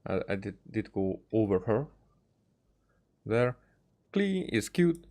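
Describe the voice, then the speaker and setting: A man giving casual, rambling game commentary as a streamer, speaking haltingly and often repeating and restarting his phrases. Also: lowish voice, sing-song, a little mellow. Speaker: livestreamer playing a game.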